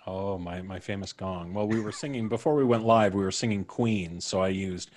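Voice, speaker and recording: A man's voice: sits low, normal speed, darkish tone, a little hiss or hum. A man hosting a podcast, chatting casually with colleagues and listeners on a livestream. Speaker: podcast host. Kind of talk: casual after-show livestream chat.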